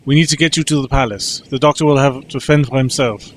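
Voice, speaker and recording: An actor plays a decisive, reassuring male adventurer in an audio drama, over faint noise. His voice is middling in pitch, and he speaks in a brisk, direct way. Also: fairly even intonation, close to an everyday voice. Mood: determined.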